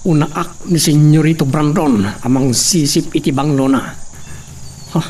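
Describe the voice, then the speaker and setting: A man's voice: fairly high pitch, measured pace, a little mellow, husky, recorded from a distance. A man playing a resentful, scheming henchman, brooding through a radio drama monologue. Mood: bitter, scheming.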